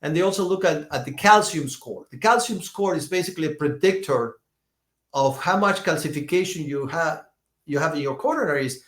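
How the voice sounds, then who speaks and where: A man in his fifties, a doctor being interviewed, telling stories in a lively way, often restarting and repeating words. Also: a little high, fairly quick, echoey room. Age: 50s. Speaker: functional medicine doctor, guest. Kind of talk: conversational podcast interview.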